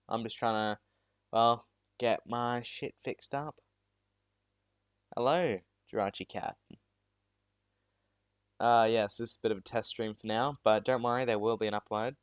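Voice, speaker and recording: A man's voice: mid-range voice, unhurried, clear, resonant tone, no echo, clean studio sound. A teenage boy from South Australia, chatting casually with viewers on a live stream, rambling and making fun of himself. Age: teens. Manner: rambling and self-deprecating, with many restarts. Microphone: new USB mic.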